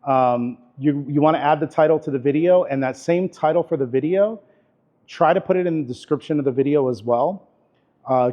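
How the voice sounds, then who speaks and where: A man teaching a live workshop class in a camera store, talking in a relaxed, chatty way with lots of fillers. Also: mid-range voice. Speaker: workshop instructor. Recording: dull lo-fi recording.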